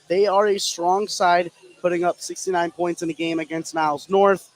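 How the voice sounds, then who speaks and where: Higher-voiced male basketball colour commentator. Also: flat delivery, normal speed. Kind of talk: sports analysis commentary. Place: indoor high school gym. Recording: light gym noise behind. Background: faint gym ambience.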